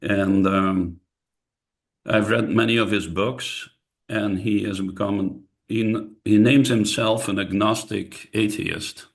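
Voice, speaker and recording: A man's voice: lowish voice, fairly even intonation, slow, gravelly. A man with a Flemish accent, leading a book club discussion over a video call, speaking carefully and hesitantly. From Belgium. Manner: hesitant, careful, apologetic about his English. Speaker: discussion leader. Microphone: computer mic on video call.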